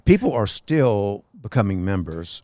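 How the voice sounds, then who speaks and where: A man's voice: lowish voice, sing-song, a little slow, warm tone, no echo. A man in his sixties co-hosting a podcast in rambling casual chat, prompting his partner, recorded outdoors on a lakeside tower. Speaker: podcast co-host.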